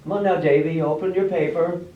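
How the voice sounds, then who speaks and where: An orderly small-town official played by a man gives folksy, slightly halting instructions in a highish male voice. It is a stage reading, muffled and distant in an echoey room. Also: steady tone, slight background noise, close to an everyday voice. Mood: orderly, businesslike. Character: brisk, orderly small-town official running a public drawing. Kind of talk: amateur stage readers theater acting. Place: library room, staged performance.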